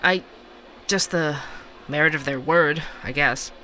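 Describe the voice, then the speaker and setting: A man's voice: a little high, a little slow, pushed and bright, a little hiss or hum. A man playing a candid, wry investigative podcast host in an audio drama, speaking conversationally. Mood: curious, hesitant. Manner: conversational, wry, hesitant asides. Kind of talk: fictional podcast narration and dialogue.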